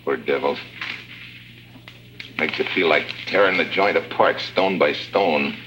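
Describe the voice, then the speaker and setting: A man's voice: rumbling low, a little slow, background noise. An actor playing a tough, stubborn American man undercover in a prison camp, speaking in blunt slang in 1950s radio drama style. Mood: disgusted. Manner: blunt, slangy, hard-boiled. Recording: old radio drama with music behind.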